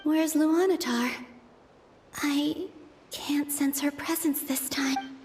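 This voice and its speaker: A woman playing a gentle, melancholy young moon maiden in a video game, musing to herself in hushed tones with breathy sighs. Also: high-pitched, fairly even intonation, slow, slight background noise. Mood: anxious, hushed. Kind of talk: dramatic video game voice acting. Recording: background music under the voice.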